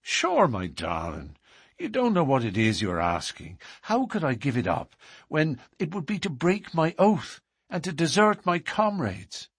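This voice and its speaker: A man's voice: on the high side, huge pitch swings, measured pace, husky, no room sound, clean studio sound, pitched above the performer's own voice, heavily acted. A man voicing a secretive, passionate young lover with a lilt, speaking earnestly and coaxingly in an audiobook. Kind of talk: audiobook character reading.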